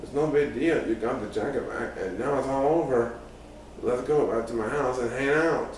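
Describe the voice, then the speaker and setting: A man's voice: mid-range voice, moderate pace, close to an everyday voice. A sensible, scolding teenage girl voiced by a male reader chides in a storybook tale. The sound is distant and heavily echoing, with faint noise. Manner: chiding, snapping back. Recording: very echoey room, distant mic, faint noise.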